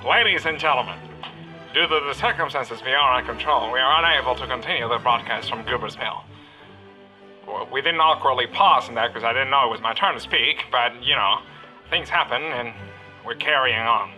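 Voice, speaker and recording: A man's voice: medium pitch, moderate pace, sharp tone, poor audio. A man playing an irreverent studio announcer in a radio parody, mock-formal and wandering off script. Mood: dry, irreverent. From outside the US. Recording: music behind the voice.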